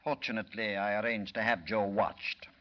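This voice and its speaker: An actor playing a cold, smug gang mastermind with precise, condescending diction, in 1940s radio style. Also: male voice, fairly low pitch, steady tone, normal speed, dull audio, close to an everyday voice. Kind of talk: 1940s radio villain acting.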